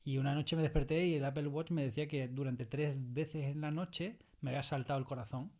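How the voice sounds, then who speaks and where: A man with an accent from Spain, speaking informally as an interviewed writer, halting and rephrasing as he goes. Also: a little high, fairly even intonation, a little mellow. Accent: Spanish from Spain. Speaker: series writer being interviewed. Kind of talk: conversational interview. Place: recording studio.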